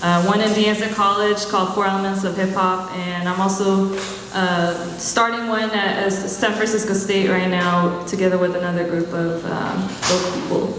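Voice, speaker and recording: Swedish-raised panelist in a booming hall. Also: male voice, normal speed, noisy background. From Sweden. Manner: relaxed and loose, filler-heavy. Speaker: student organizer. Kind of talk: panel self-introduction. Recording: echoey hall from far off.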